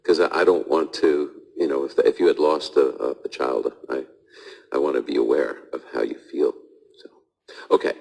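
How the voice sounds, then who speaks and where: Very deep-voiced male radio host. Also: fairly even intonation, normal speed, gravelly, darkish tone, rough recording. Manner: thoughtful, hedging, frequent restarts. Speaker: radio host answering audience questions. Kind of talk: live call-in Bible Q&A.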